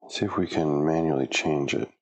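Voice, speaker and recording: A middle-aged man in a home gym talks his way through his workout in a casual vlog. His voice sits low and gravelly. He chats to viewers and mutters to himself, a little flustered as he gets set up. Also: fairly even intonation, a little fast. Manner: chatty, self-talking, flustered by equipment trouble. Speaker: fitness vlogger.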